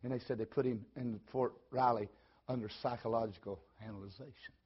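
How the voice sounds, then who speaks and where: Husky old preacher man. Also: medium pitch, fairly even intonation, fairly quick. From Tennessee, USA. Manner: folksy, repeats phrases, swings from loud to soft. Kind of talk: sermon storytelling. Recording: dull lo-fi recording.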